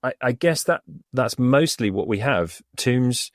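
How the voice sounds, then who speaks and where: A man's voice: medium pitch, lively intonation, a little slow, no echo, clean studio sound. A man, an Egyptologist co-host, explaining thoughtfully over an internet call with frequent fillers and restarts. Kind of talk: casual explanatory podcast chat. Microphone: remote over the internet.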